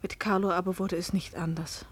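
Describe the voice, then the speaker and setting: An actress in a German radio play voicing a lonely, fearful, resigned wife, breathy and often hushed. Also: female voice, lowish voice, little pitch movement, a little fast. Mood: melancholy, reflective. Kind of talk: German radio play acting with first-person narration.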